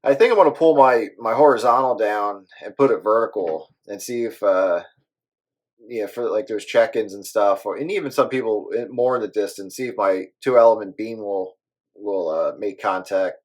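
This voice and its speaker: A man's voice: fairly low pitch, moderate pace. A man chatting casually as a hobby radio operator, rambling along in an easygoing way.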